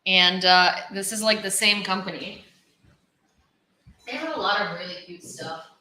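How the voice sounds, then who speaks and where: A young woman from Ukraine hosts a relaxed livestream, rambling through chat with lots of fillers. Her voice is bright and a little tense, and it rings in an echoey room. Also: mid-range voice, a little slow, slight background noise. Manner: chatty, rambling, many fillers and restarts. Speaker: livestream host. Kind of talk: casual livestream chat, reading viewer comments.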